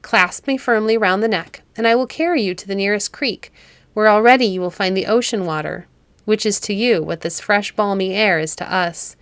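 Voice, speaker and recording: A woman voicing a gentle, self-sacrificing young woman in a storybook, tender and earnest. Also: mid-range voice, normal speed, no echo, close to an everyday voice. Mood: urgent, caring.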